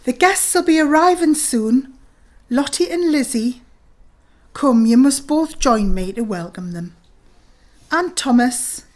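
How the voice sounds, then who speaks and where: A woman acts a gracious, bereaved mother of 1919 running her household. Her voice is fairly high, and she speaks with composed dignity as in a radio play. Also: lively intonation, takes it slowly, long pauses. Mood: gracious, solemn. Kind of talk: audio drama acting.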